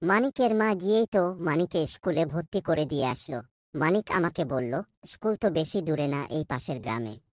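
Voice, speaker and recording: A woman's voice: sits low, animated, normal speed, dry recording, clean studio sound. Woman voicing a whiny, pleading little village boy. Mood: insistent.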